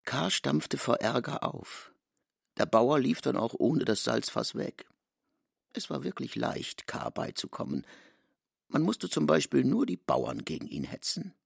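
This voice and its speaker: An actor as a storyteller in a literary radio drama, husky and gravelly, reading expressively in a formal manner. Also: male voice, medium pitch, very animated, a little slow, no room sound, full character voice. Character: expressive male storyteller of a classic novel. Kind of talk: scripted literary narration with character speech. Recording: dry studio with faint music.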